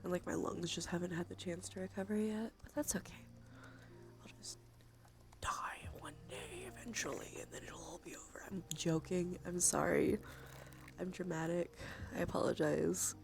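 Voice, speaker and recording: A woman streaming soft ASMR chat, often slipping into a whisper, sniffly with allergies and a sore throat, casual and affectionate with some swearing. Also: fairly low pitch, lively intonation, normal speed, faint music behind. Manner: breathy, frequent drops into whisper. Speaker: livestream host.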